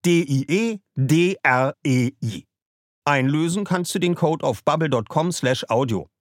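A man's voice: mid-range voice, dry recording, clean studio sound. A man reading a scripted podcast ad, addressing the listener directly and informally. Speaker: podcast ad presenter.

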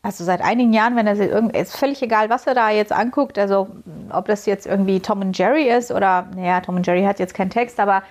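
A woman's voice: mid-range voice, fast talker, few pauses, darkish tone. A woman whose first language is Azerbaijani, a cabin chief and recruiting expert, chatting casually on a podcast and rambling with many fillers.

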